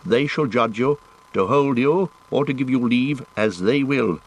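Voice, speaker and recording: A man reading an audiobook, voicing a stern male elf guardian who speaks in a measured, formal way. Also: mid-range voice, husky, pitched above the performer's own voice.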